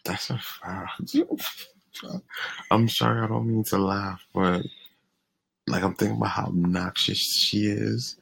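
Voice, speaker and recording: A man's voice: lowish voice, fairly even intonation, slow pace, gravelly, pauses often, a bit bright, slightly rough, poor audio. A young man co-hosting a casual podcast, joking and easygoing, with lots of slang. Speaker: podcast co-host. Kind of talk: casual chat.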